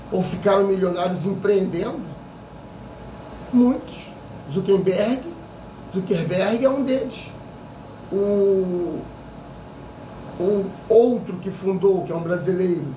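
A man's voice: high voice, a little mellow, reverberant, distant mic, slight background noise, rough recording. A man in his fifties, a psychic vlogger giving an opinionated casual monologue full of repetition and rhetorical questions. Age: 50s.

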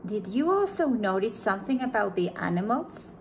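A woman's voice: mid-range voice, vocal fry, slight background noise. A woman in her thirties, a pre-kindergarten teacher, reading a picture book aloud at home, with gasps and questions for young children. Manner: slow, expressive storybook reading with gasps and questions to kids. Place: room at home with window blinds.

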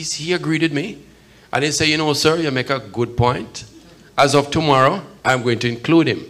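A middle-aged man with a Jamaican accent giving a measured, emphatic public speech at a podium microphone in an event hall. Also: on the high side, fairly bright tone. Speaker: consul general, guest speaker at podium. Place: event hall, at a podium before a seated audience.

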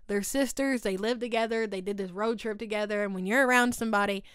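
A woman's voice: medium pitch, steady tone, quick pace, a bit bright, smooth, dry recording, studio quality. A woman, recapping a TV show in a casual, gossipy way on her channel. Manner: chatty and gossipy, lots of "like" and "y'all". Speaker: YouTube recap host. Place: home studio. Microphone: desk mic beside her.

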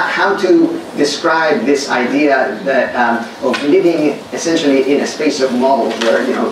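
A man from Greece with a Greek accent, giving a thoughtful academic lecture with many pauses and fillers, recorded in a large lecture hall from a distant mic. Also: mid-range voice. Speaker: workshop speaker. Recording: echoey hall recorded from far off, noisy.

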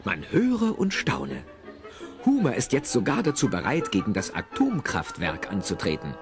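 A man with a husky voice narrating an audio drama in an animated, wry storytelling style. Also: mid-range voice, moderate pace. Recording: dry cassette studio narration over music. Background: music.

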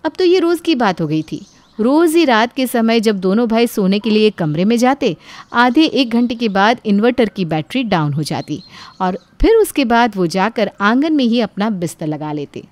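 A woman's voice: a little high, very animated, normal speed, a little mellow, dry recording. A woman narrator reading a scripted family story.

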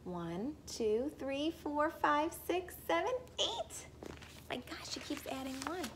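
A young woman reads aloud like a teacher of small children, in a high, very animated voice. She speaks slowly and puts questions to the listeners. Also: noisy background. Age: young adult.

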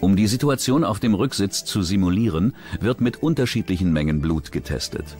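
A man narrating a true-crime documentary in a measured, serious scripted delivery. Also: low-pitched, animated, a little mellow, faint music behind.

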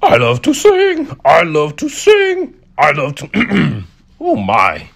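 A man's voice: on the high side, huge pitch swings, a bit bright, heavily acted. A man voicing a big, grumpy, boastful bridge troll with a growly voice in a children's cartoon story. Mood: straining, flustered. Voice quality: gravelly, growly. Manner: slow, drawn-out, blustering.

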